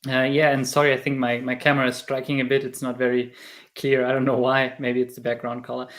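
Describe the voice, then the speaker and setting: A man in his thirties with a German accent, talking casually as an interview guest and telling stories in an animated way. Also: medium pitch, fast talker. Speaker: podcast guest, crypto YouTuber.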